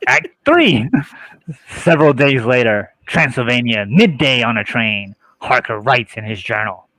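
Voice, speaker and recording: A man's voice: medium pitch, lively intonation, measured pace, bright, forceful tone, no room sound. A man narrating scenes and stage directions in an amateur script read-through.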